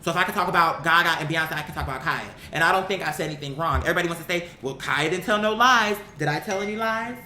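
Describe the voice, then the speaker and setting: A woman with an American accent and a slightly low voice talks straight to her audience in opinionated commentary. She is emphatic and slangy, pressing points with rhetorical questions. The room echoes around her, with a faint rumble of noise underneath. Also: fast, hardly any pauses, sharp tone. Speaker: vlogger, commentary host. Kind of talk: opinionated casual commentary to viewers.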